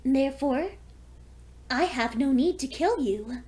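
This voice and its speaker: A woman's voice: sits high, expressive, slow pace, dark tone, voice pushed up. A woman voicing a seductive, lonely vampire pony with a courteous, teasing manner in a fan fiction audio story. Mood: coolly predatory.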